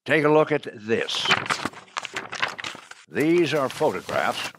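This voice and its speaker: A man playing a commanding American intelligence director in a radio drama, authoritative and deliberate. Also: mid-range voice, sing-song, very noisy. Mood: measured, intent. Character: brisk male intelligence agency director, commanding boss.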